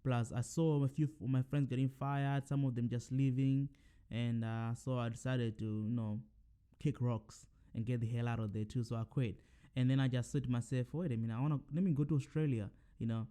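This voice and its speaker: A young man born in Zimbabwe talks loosely into his own podcast mic, his voice mellow and smooth. He wanders and restarts, with plenty of ums and quick corrections. It sounds like a relaxed solo chat. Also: mid-range voice. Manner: rambling, many fillers and self-corrections. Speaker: podcast host. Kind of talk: casual solo monologue.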